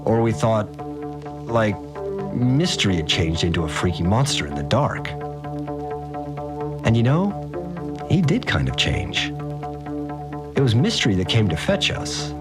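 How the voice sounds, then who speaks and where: Deep rattled survivor dude, slangy sweary audio diary, rambling and animated, music behind, podcast drama. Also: male voice, normal speed, long pauses. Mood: scared, awed. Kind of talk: casual confessional audio-diary monologue.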